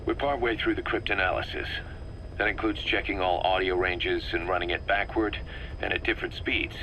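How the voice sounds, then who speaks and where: A man playing an analytical, wary military second-in-command in a video game, explaining things in a measured, methodical way. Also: low voice, pushed and bright, slight background noise, poor audio. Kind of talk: scripted video game cutscene dialogue.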